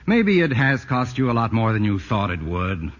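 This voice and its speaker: An actor playing a wry, urbane, sympathetic family friend with droll, articulate delivery in 1940s radio comedy style. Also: male voice, lowish voice, big pitch swings, normal speed. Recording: muffled echoey old radio broadcast.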